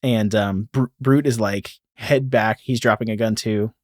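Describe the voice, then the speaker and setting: A man's voice: lowish voice, steady tone, measured pace, darkish tone, dry recording, studio quality. A man co-hosting a podcast, recapping comic panels in a loose, slangy, conversational way. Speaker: podcast co-host. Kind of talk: casual chat and read-aloud comic recap.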